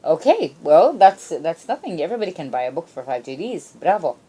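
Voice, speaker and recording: A woman's voice: on the low side, brisk, breathy edge. A woman hosting a casual live radio interview, chatty and reactive, often echoing what she hears. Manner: chatty, animated reactions, echoes guest's answers. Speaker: radio show host. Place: radio studio.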